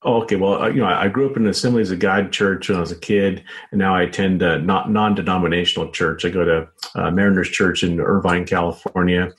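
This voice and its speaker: Deep-voiced American man, halting speech. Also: fairly even intonation. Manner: halting, repeats words and says "you know" constantly. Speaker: interview guest, author and journalist. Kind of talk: conversational interview answers.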